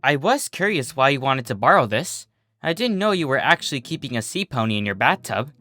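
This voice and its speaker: A sensible storybook mare with little patience, voiced by a narrator. Her manner is dry and matter-of-fact, in a clear bright tone with a close, dry sound. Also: medium pitch, big pitch swings, fairly quick, no echo, soft background music. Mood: curious. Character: dry, easily irritated, sensible female earth pony.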